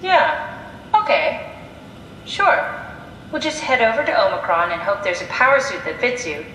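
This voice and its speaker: A woman's voice: on the high side, sing-song, a little slow, pushed and bright, a little hiss or hum, exaggerated voice. An actress playing a curious, pragmatic woman scientist in a video game, talking in an analytical, matter-of-fact, conversational way. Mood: brisk, curious. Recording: echoey room sound with music behind.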